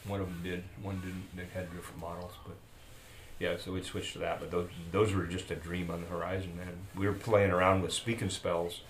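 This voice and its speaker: Brief: older man, very low voice, rapid rambling storyteller, echoey room with faint hiss. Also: level tone. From born in Peru, raised in Bolivia. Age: about 70. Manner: rambling, trails off and restarts mid-thought. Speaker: podcast guest. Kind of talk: casual chat, storytelling. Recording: roomy with faint noise.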